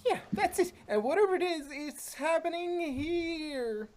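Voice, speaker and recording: A performer voicing a hyperactive, cheerful young female cartoon pony with a wobbly, excitable delivery. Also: extremely high pitch, a little slow, a little hiss or hum, voice pushed up. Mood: excited.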